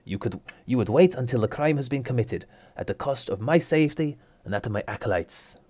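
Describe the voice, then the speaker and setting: A man's voice: medium pitch, animated, a little fast, voice pushed up, natural voice. A man voicing an indignant priestess. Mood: angry. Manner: sharp, indignant, demanding. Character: indignant middle-aged female priestess.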